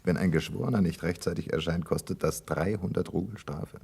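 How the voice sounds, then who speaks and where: An actor playing a jovial, teasing gentleman juror in a 1960s German radio play, with an ironic manner. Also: low voice, fairly quick, vocal fry, husky, heavily acted. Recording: 1960s radio drama recording.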